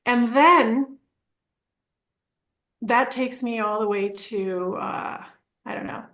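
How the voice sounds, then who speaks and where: A woman's voice: on the high side, takes it slowly, fairly bright tone. A woman in her forties from Argentina, a podcast guest telling her story in a rambling, casual way. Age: 40s. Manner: rambling, restarts, acts out quoted dialogue with laughs.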